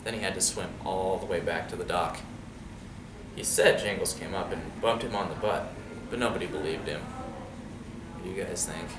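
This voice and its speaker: A man reading a picture book aloud to children in an expressive, conversational way, often turning to ask them questions. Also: sits low, moderate pace, pauses often. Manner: conversational, checks in with young listeners using questions. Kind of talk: expressive storybook read-aloud with casual asides. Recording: roomy, distant mic with clear background noise.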